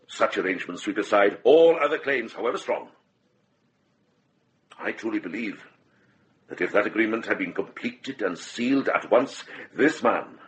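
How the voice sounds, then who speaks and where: An actor playing a shrewd, compassionate middle-aged monk in a radio drama, speaking with grave, measured deliberation. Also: male voice, fairly low pitch, a bit bright, rough recording.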